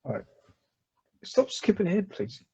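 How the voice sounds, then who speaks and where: Bloke, crude mocking slide show, husky mellow voice. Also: male voice, high-pitched, wildly swooping pitch, normal speed. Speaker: comedy presenter. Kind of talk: joking slide presentation. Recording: dull lo-fi recording.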